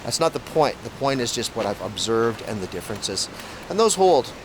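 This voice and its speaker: A man's voice: mid-range voice, very animated, moderate pace. Rambling Canadian man over hiss. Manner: rambling, thinks aloud with many restarts. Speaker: vlogger. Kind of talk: casual outdoor talk to camera.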